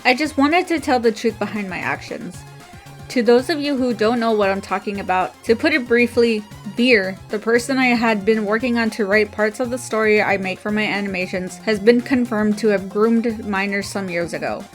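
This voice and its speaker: A woman's voice: medium pitch, normal speed, soft background music. A woman reading a written apology statement aloud.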